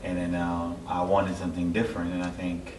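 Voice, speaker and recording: A middle-aged man from Haiti giving a personal testimony as an interview guest, halting and searching for his words. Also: low voice, completely flat delivery, a little mellow. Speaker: interview guest, church member. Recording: echoey, distant mic, clear background noise.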